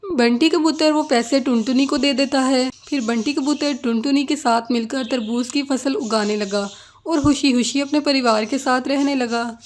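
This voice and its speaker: A woman with a high-pitched voice narrates a children's animated tale. Her delivery is scripted storytelling in the third person. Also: moderate pace, a little hiss or hum.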